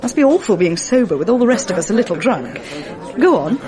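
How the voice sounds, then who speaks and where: A sharp, self-assured young Oxford woman who teases everyone she talks to, her voice rising and falling a lot. A party crowd chatters behind her in this radio drama. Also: fairly high pitch, sing-song, fairly quick. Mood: lively. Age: young adult. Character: clever, bold female Oxford student. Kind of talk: 1980s radio drama acting.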